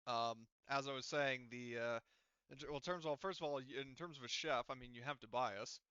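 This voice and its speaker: A man running a tabletop roleplaying session as game master, explaining things casually and haltingly. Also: medium pitch, pushed and bright, clean tone, no echo, muffled recording.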